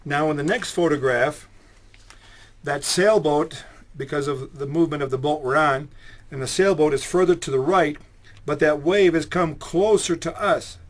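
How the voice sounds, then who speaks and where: A man's voice: a little high, normal speed, close to an everyday voice. A man voicing an earnest male believer who explains his claims about lake monsters.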